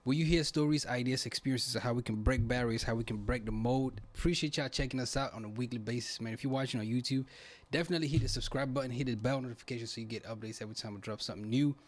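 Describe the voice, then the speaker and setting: A man hosts a casual podcast with quick, slangy speech. His voice sits at an average male pitch, and his delivery is informal and conversational. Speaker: podcast host.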